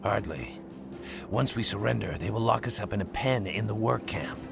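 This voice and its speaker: Deep gravelly centaur warrior. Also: male voice. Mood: confident, sly. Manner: measured, formal, terse phrasing. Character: proud, gruff, wry male centaur warrior. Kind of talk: dramatic video game cutscene acting. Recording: loud music behind.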